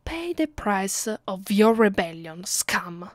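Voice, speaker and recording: A woman with an Italian accent voicing a cruel, bored, centuries-old vampire mistress in an ASMR roleplay, deliberate and condescending. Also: mid-range voice, very animated, no echo, clean studio sound. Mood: contemptuous threat. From Italy. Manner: slow, deliberate, menacing, condescending.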